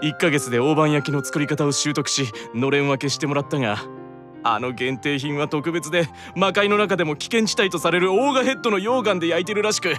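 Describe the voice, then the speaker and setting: A man's voice: a little high, brisk, over music, lightly acted. A man playing a proud, earnest, worn-out demon brother with a stiff, dramatic delivery in a Japanese anime-style audio drama. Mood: weary, earnest.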